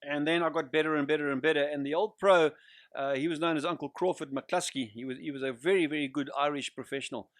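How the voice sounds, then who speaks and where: Older southern African man, higher-pitched voice. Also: fairly even intonation, quick pace, no echo. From Central Africa (Zimbabwe, Zambia region). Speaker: guest pastor and evangelist. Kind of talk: personal testimony in an interview.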